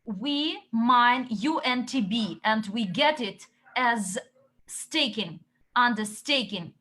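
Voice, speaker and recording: Slow, bright-voiced woman interpreter. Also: a little high. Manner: halting phrasing in short chunks. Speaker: interpreter relaying a company update. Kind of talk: consecutive interpreting of questions and answers.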